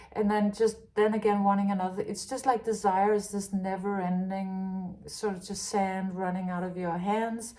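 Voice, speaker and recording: A woman from Denmark talks her way through a spiritual tarot reading. Her voice sits at a middle pitch, and she muses out loud, restarting her phrases and stumbling through fillers as she goes. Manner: halting, many restarts and fillers, musing. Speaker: tarot reader.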